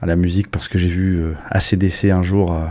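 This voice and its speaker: A man's voice: low voice, flat delivery, fast talker, darkish tone. A man from France with a French accent, a musician chatting casually and a bit hesitantly as an interview guest. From France, raised in the Ardennes.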